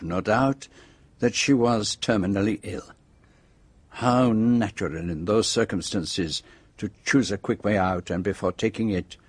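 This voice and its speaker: An actor playing a shrewd, self-assured detective in a radio drama, with a French-speaking accent and precise, theatrical phrasing. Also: male voice, mid-range voice, breathy edge, no room sound. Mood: self-satisfied.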